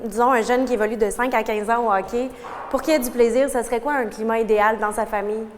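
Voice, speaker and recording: A woman interviewing a guest, asking brisk, prepared questions. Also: on the high side, fairly even intonation. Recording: reverberant room with faint noise.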